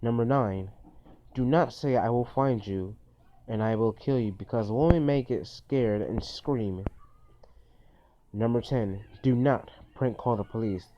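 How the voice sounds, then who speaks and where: A man's voice: medium pitch, unhurried, a little hiss or hum. A man giving informal list-style advice as a video host, in an earnest, cautionary manner with repetitive phrasing. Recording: dull, lo-fi phone recording.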